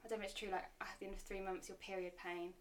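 A woman's voice: mid-range voice, little pitch movement, rapid-fire, a little hiss or hum. A nineteen-year-old woman chatting casually as a podcast guest. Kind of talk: casual chat.

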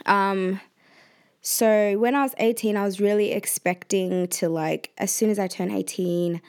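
A woman's voice: medium pitch, fairly even intonation, unhurried, darkish tone. A twenty-year-old woman and university student talking casually on her vlog, chatty and slangy, laughing often as she speaks. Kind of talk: casual personal vlog talk.

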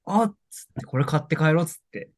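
A young adult man co-hosting a podcast, chatting casually about music in a relaxed way and laughing often. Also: fairly high pitch, fast, no room sound, clean studio sound. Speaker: podcast co-host.

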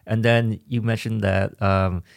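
A man from Vietnam hosting a podcast, asking questions in a halting way and often repeating words. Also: fairly low pitch, level tone, gravelly, dry recording, clean studio sound. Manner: stammering, repeats words while thinking. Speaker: podcast host. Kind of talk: conversational interview.

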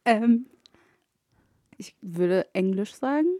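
Egyptian-born woman, arabic native, chatting hesitantly w english bits, dark mellow tone. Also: mid-range voice, lively intonation, slow pace, no echo, clean studio sound. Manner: mixes English words into her talk, hesitant. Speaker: podcast guest. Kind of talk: casual chat.

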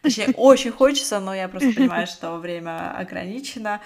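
A woman hosting a podcast, interviewing her guest with gentle, soft-spoken prompts. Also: mid-range voice, expressive, fairly quick, vocal fry, crowd noise. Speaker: podcast host.

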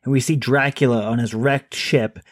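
A man's voice: mid-range voice, fairly even intonation, moderate pace, dry recording, clean studio sound. Man chatting about a book on a podcast. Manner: conversational, reads a sponsor plug. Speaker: podcast co-host. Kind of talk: casual book discussion and ad read.

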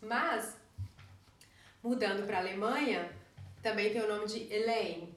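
A woman's voice: medium pitch, slow pace, faint music behind. A young Brazilian woman co-hosting a podcast in a casual, conversational way, speaking with a Brazilian accent. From Brazil, Caetité in Bahia. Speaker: podcast co-host. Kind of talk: casual chat. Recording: echoey room, distant mic, light noise.

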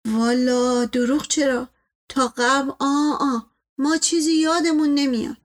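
A woman voicing a placating, folksy male servant in an audiobook story, with colloquial, deferential, drawn-out phrasing. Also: sits high, fairly even intonation, lightly acted. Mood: evasive, flattering.

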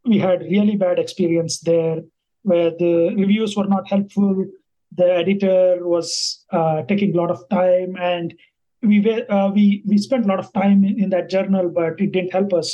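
Man, from India, native Kannada speaker, high voice, warm dark tone, thoughtful, hesitant interview answers. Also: steady tone, moderate pace, highs cut off. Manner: thoughtful, many fillers and restarts. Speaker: guest scientist.

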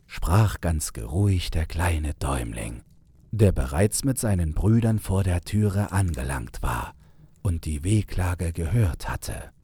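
Male narrator, very low, very husky, unhurried, dark warm tone, scripted fairy-tale storytelling. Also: no room sound.

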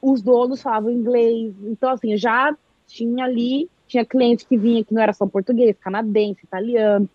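A husky, fairly high-voiced woman from Brazil talks on a live chat, animated and lively as she tells her story and acts out quoted speech. The sound is dry and a little dull and lo-fi. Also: fairly quick, dry recording.

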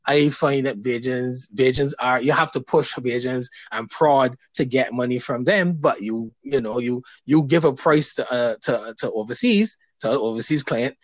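A man hosting a casual interview, talking hesitantly with many restarts and pauses. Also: mid-range voice, no echo. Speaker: podcast host.

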